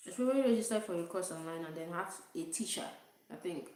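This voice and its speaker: Young Nigerian woman, low-ish voice, rambling self-deprecating livestream chat, very echoey room. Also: fast talker, darkish tone. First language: Igbo. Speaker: vlogger.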